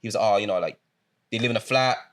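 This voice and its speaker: A man's voice: fairly low pitch, very fast, fairly bright tone. A young man from England co-hosting a podcast, chatting in a laid-back, teasing way and telling stories. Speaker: podcast co-host. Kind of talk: casual chat.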